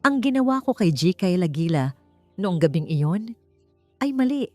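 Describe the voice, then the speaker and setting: A woman's voice: fairly low pitch, big pitch swings, moderate pace, darkish tone, no room sound, soft background music. Audiobook figure: proud young female executive, earnest and formal, read by a woman. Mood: earnest, contrite. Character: proud young woman CEO admitting fault.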